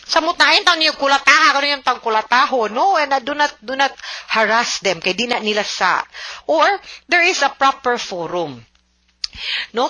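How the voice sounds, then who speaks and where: A woman's voice: medium pitch, bright, forceful tone, no echo. A woman lawyer hosting a radio advice show, talking earnestly to her listeners. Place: radio studio.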